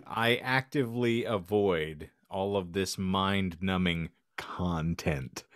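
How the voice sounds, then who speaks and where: A man's voice: deep voice, slow pace, no room sound, clean studio sound. A man co-hosting a podcast, bantering in a wordy, mock-formal, sardonic way. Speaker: podcast co-host. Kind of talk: casual comedic banter.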